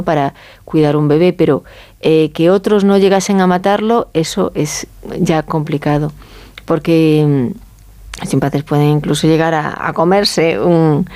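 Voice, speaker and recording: A woman's voice: deep voice, mellow. A woman with a Spanish accent from Spain, explaining informally as an expert interview guest, often checking in with little tag questions. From Galicia, Spain. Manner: conversational, often seeks agreement with tag questions.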